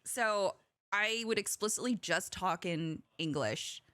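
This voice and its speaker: A woman's voice: medium pitch, bright, forceful tone, no room sound. A woman who grew up speaking Korean, hosting a podcast in a chatty, giggly way. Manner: giggly, chatty, quick to laugh. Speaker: podcast host. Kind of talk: casual podcast chat.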